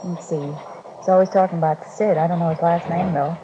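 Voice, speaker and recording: A woman's voice: fairly low pitch, steady tone, fairly quick, close to an everyday voice. An actress playing a trusting, naive young girlfriend of a suspect in a 1950s radio drama, earnest and chatty. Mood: fond, earnest. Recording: noisy, dull 1950s radio recording.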